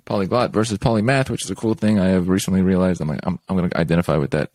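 A man hosting a podcast interview, casual and enthusiastic, quick with praise and informal asides. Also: sits low, fairly even intonation, a little mellow, dry recording. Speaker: podcast host.

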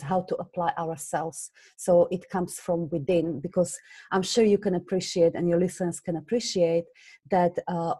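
A woman from Slovakia speaks with a Slovak accent in a low, mellow tone. She tells her story earnestly in an interview, often stopping on small fillers as she goes. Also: husky. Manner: earnest, hesitant with many fillers. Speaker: guest, business coach. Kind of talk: conversational interview.

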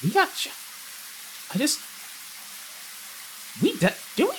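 An excitable young man riffing in character during a tabletop game. His pitch swings all over the place, shooting sky-high whenever he gets worked up. A faint hiss sits under the recording. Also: slow, rough recording. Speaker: player. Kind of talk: improvised in-character banter.

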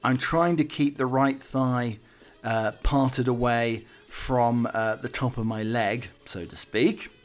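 A man's voice: medium pitch, steady tone, takes it slowly. A man hosting a podcast in a casual, wry monologue, self-deprecating and mock-dramatic, often stumbling and repeating his words. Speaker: podcast host.

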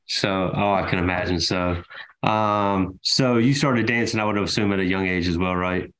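A man's voice: low-pitched, fairly even intonation, moderate pace, fairly bright tone, rough recording. A man hosting an interview, asking leading questions and filling his speech with many ums. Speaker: interviewer, host. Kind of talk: casual interview.

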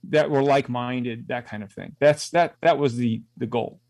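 A man's voice: medium pitch, a little mellow, no room sound. A man talking as a guest on a radio show, stopping and restarting his sentences often. His voice sits mid-range and sounds close and dry, with no room around it. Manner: halting, many restarts and fillers. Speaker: guest, mentoring volunteer. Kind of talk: casual interview talk.

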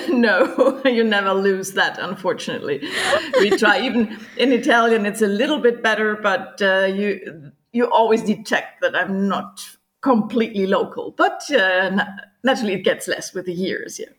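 A middle-aged woman from Germany with a German accent, a tour guide talking as a podcast guest, laughing often and pausing on fillers. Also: mid-range voice. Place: home in Sicily, remote call.

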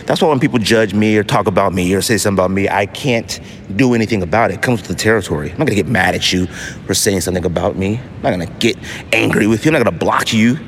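American guy podcast host, lowish voice, slangy and emphatic, repeats stuff for effect, bit of noise behind him. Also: fast talker. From United States. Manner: animated, slangy storytelling with mock voices for others. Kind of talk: casual solo podcast talk.

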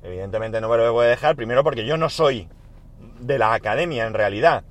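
A man, speaking at a medium pitch, records a casual audio diary inside his car. His throat is sore, and he clears it now and then. He thinks out loud with plenty of fillers and stops to correct himself. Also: a little fast. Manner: rambling, self-correcting, thinks aloud with many fillers. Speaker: podcast host recording a daily audio diary. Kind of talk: casual spoken diary monologue.

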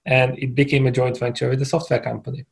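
A man from Hungary, a guest on a podcast, answering questions in a relaxed, conversational way and laughing easily. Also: medium pitch, fast, creaky. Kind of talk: casual interview answers.

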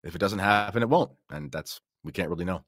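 Middle aged guy guesting on a podcast, low voice, really dry sound, just talking it through. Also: fast, fairly bright tone, dry recording. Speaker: podcast guest and audiobook narrator. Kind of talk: casual discussion.

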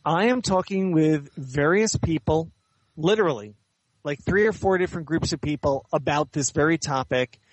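A man giving measured, articulate, explanatory answers as an author and editor being interviewed in conversation. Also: on the high side, dry recording, low-quality recording.

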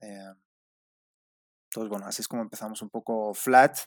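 A man's voice: fairly low pitch, normal speed, dry recording, clean studio sound. Spanish man with a half-Mexican lilt. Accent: Spanish with some Mexican influence. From Spain. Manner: relaxed, many fillers and restarts. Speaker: startup founder guest. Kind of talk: conversational interview answers.

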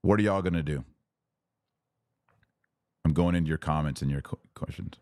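Male religious commentator, low voice, dry close sound. Emphatic, punchy, repeats key phrases, challenges the listener directly. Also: moderate pace, darkish tone, dry recording. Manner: rhetorical questions to listeners, acting out imagined quotes. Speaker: podcast host and religious commentator. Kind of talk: impassioned religious commentary to a live audience.

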